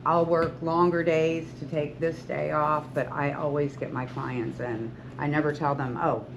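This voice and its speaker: A woman in her sixties speaks warmly to a group of students as a veteran hairstylist. She is earnest and encouraging and rambles with frequent restarts. The recording is dull and lo-fi, with clear background noise behind her. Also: low voice, flat delivery, a little slow. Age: 60s. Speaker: hairstylist and salon owner. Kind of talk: talk to beauty school students.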